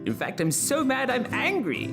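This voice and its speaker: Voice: medium pitch, wildly swooping pitch, takes it slowly, loud music behind the voice, heavily acted. Narrator putting on a melodramatic, sulky angry prophet. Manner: melodramatic, petulant complaining. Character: sulky, self-pitying angry male prophet. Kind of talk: children's storybook character reading.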